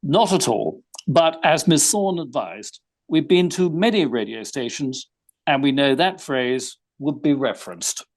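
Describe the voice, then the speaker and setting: A man playing a vain gentleman detective, speaking in a pompous, formal, drily witty way. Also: mid-range voice, animated, a little slow, rough recording. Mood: pompous, dry.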